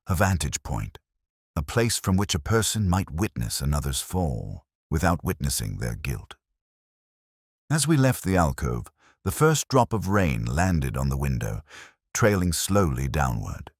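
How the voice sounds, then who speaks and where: A brooding police inspector, played by a man, narrates a detective story in an extremely low, husky voice. The delivery is measured, solemn and literary, with a lively rise and fall across phrases. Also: no room sound, studio quality, heavily acted. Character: brooding, world-weary male police inspector recounting a case. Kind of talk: scripted first-person detective narration.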